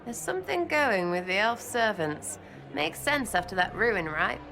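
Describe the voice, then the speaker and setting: A British actress playing a cheeky, blunt young elf rogue in a fantasy game, talking in clipped, irreverent slang. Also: female voice, mid-range voice, sing-song, unhurried, gravelly. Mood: conspiratorial. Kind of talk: video game dialogue acting.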